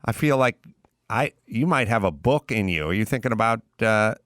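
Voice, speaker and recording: A middle-aged man hosting a casual podcast interview, asking questions in a relaxed, thoughtful way. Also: fairly low pitch, normal speed, no echo, studio quality. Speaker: podcast host.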